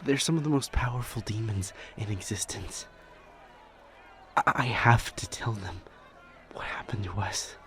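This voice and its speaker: A man playing a shaken, devoted demon lover in an audio drama, halting and breathy as he speaks. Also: lowish voice, fairly bright tone. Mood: urgent, desperate. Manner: halting, stammering, breathy urgent delivery. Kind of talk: intense dramatic audio roleplay acting.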